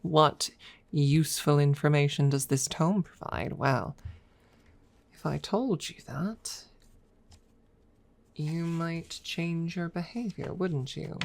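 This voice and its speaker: A woman playing a proud, teasing dragon rival in a soft, measured ASMR audio roleplay, at times dropping toward a whisper. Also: deep voice, vocal fry, full character voice. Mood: coy. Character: proud, teasing dragon rival hiding affection, hoarder of treasure.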